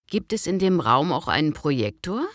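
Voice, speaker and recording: Low-voiced, lively actress as polite businesswoman. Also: moderate pace, no room sound, clean studio sound. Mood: polite, businesslike. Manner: polite, clearly enunciated. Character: polite businesswoman booking a hotel conference by phone. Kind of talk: scripted language-lesson dialogue.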